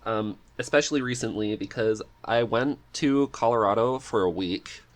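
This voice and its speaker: A man with a low voice talks as a guest in a relaxed interview. He thinks aloud, a little hesitant, in an easygoing conversational way. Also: moderate pace. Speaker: podcast guest, script writer and amateur voice actor. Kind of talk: casual interview chat.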